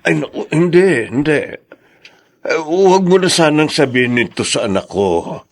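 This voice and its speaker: A man with a Filipino accent playing a gentle, hurt, hesitant elderly father-in-law in a radio drama. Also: fairly high pitch, animated, normal speed. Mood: hesitant, wistful. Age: older. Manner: hesitant, humble, soft-hearted.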